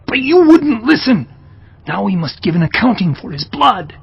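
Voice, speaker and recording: An actor playing an angry, reproachful eldest brother in a dramatized audio Bible, with a rough, husky, scolding voice. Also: male voice, high voice, sing-song, normal speed, dry recording, exaggerated voice. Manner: scolding, emotive. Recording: dull, muffled sound.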